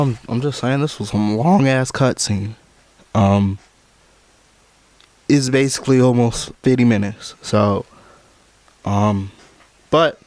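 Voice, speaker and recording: A man giving casual commentary over a video game. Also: medium pitch.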